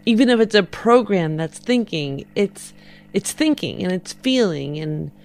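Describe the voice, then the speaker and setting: A woman's voice: mid-range voice, expressive, measured pace, a little mellow. A woman playing an earnest, polite but insistent spacer woman with firm morals in a tabletop game. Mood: morally insistent.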